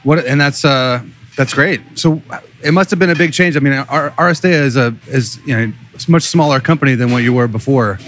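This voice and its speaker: Male podcast host, medium-pitched voice. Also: fairly quick, fairly bright tone, slight background noise. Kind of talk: casual conversational interviewing.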